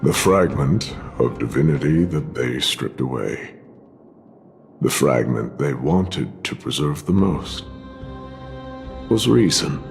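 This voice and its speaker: Play a grave, deep-voiced warrior, slow and solemn. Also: male voice, lively intonation, gravelly, soft background music, full character voice. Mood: grave recounting. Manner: grave, deliberate, measured. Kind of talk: dramatic video game voice acting.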